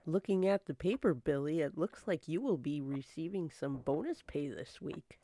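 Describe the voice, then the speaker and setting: A woman's voice: low voice, animated, normal speed, mellow, no room sound. A woman playing a polite, efficient, matter-of-fact detective's office assistant in an old-time radio drama.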